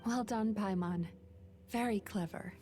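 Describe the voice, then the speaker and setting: A woman voicing a gentle, composed spirit counselor in a video game, speaking in a calm, measured, explanatory way. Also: medium pitch, soft background music, lightly acted. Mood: calm, reassuring. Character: Mizuki, gentle, composed female nightmare-eating spirit counselor.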